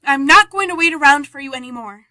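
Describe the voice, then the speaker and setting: Lady voicing a shy flustered young woman, high bright voice, keeps tripping over her words. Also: quick pace, dry recording, clean studio sound. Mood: hesitant. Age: young adult. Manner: hesitant, flustered, easily hurt. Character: young woman Helen, timid, unsure of herself. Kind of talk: amateur radio play acting.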